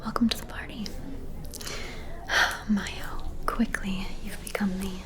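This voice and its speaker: Casting: actress for an ageless female vampire socialite, haughty and seductive, slow coy whispering, ASMR roleplay. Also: mid-range voice, fairly even intonation, lightly acted. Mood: coy, welcoming. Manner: breathy whisper with coy, condescending endearments.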